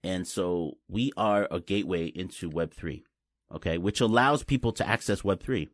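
A man, raised in New after being born in Taiwan. He talks as an interview guest, explaining simply and haltingly, often repeating words as he goes. The sound is dry and close. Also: low-pitched, dry recording. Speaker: guest, blockchain company representative. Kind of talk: casual interview chat.

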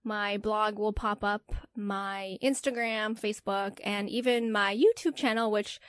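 A woman's voice: medium pitch, measured pace, dry recording, clean studio sound. A young woman, an interview guest, telling stories in casual chat and often adding "right?". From United States. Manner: animated storytelling, frequent "right?" tags.

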